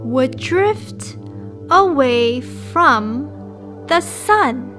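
A young woman presenting and reading for young children in an upbeat, clearly enunciated way, as a storytime host. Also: high-pitched, animated, very slow, fairly bright tone, soft background music. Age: young adult. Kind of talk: children's read-aloud and presenting.

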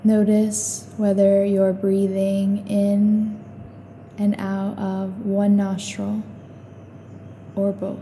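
A woman guiding a meditation in a calm, soothing, unhurried way, leaving long pauses between short instructions. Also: medium pitch, a little hiss or hum, poor audio. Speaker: meditation guide.

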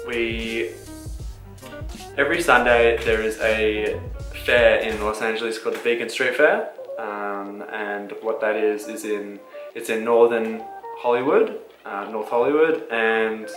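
A young man co-hosting a casual vlog, speaking in a relaxed, eager way. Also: on the low side, echoey room, low-quality recording. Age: young adult. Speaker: vlog co-host. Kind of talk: casual chat. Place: bright indoor room, seated.

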